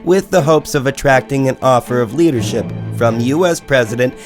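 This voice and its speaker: A man's mid-range voice acting as a serious television news anchor in an audio drama. He reads in a formal, measured, urgent way, with light music behind him. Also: mid-range voice, lightly acted. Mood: grave, urgent.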